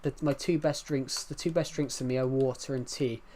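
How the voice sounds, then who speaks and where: Young British streamer, man, thick regional accent, mid-pitched, rambling casual chat with viewers. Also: fairly even intonation, normal speed, darkish tone. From United Kingdom. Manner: rambling, many restarts, chatty and candid. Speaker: live streamer.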